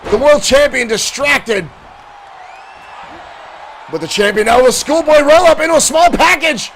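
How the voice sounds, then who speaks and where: A man doing excited play-by-play commentary for a digital wrestling show, shouting at big moments and losing his voice. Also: extremely high pitch, normal speed, some chatter behind, a little hiss or hum.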